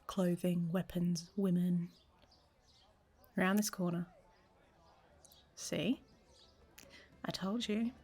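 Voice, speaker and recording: A woman's voice: lowish voice, breathy edge, full character voice. A woman playing a worldly, flirtatious traveler in an audio roleplay, speaking to the listener in a teasing, often half-whispered way.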